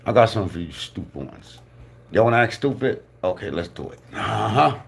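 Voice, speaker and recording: A man's voice: fairly low pitch, expressive, brisk, vocal fry. A man giving casual, joking reaction commentary on a video, loose and profane, often repeating himself. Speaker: reaction streamer. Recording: roomy echo, faint noise.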